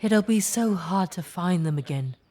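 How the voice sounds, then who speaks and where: An actress playing an older man's gentle, sympathetic companion. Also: lowish voice, lively intonation, normal speed. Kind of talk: audio drama acting.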